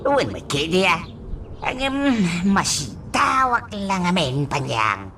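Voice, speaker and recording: An actress with a Filipino accent playing a chatty, grumbling household worker in a radio drama. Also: female voice, on the low side, sing-song, slow pace, bright, forceful tone, slight background noise, exaggerated voice. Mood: gossipy.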